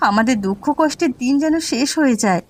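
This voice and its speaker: A woman's voice: high voice, lively intonation, fast. A woman playing a worried, tender village wife and mother in a cartoon, speaking in a plaintive, earnest way. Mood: worried, sorrowful. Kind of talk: Bengali cartoon voice acting.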